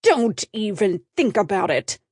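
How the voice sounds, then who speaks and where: A woman voicing a sharp, controlling female publicist with a snappy, commanding manner in a storybook. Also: mid-range voice, very animated, slow, dry recording, clean studio sound. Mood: sharp, hostile.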